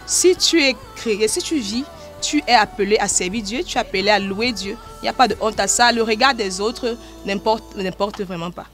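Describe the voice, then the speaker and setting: A woman's voice: medium pitch, normal speed, over music. A woman with a Cameroonian accent speaking as an interviewed gospel artist, in an earnest, devout manner. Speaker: gospel artist and choir director being interviewed. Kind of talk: interview talk about life and music.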